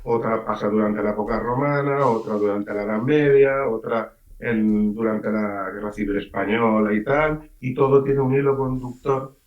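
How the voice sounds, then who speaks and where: Lively Valencian-man, off-mic and roomy. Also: fairly low pitch, normal speed, far from the mic, highs cut off. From Valencia region, Spain. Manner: lively storyteller, acts out voices in quotes. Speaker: writer being interviewed. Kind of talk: casual radio interview. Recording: roomy, off-mic radio sound.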